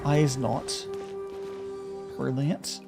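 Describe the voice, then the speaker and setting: A man's voice: mid-range voice, level tone, very slow, creaky. A man streaming a game, rambling and joking casually. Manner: rambling, joking, laughs often. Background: game music.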